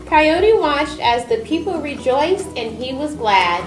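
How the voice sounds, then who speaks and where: A woman's voice: high-pitched, very animated, slow. A woman reading aloud as the narrator and presenter of a stage performance. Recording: echoey room with music over it.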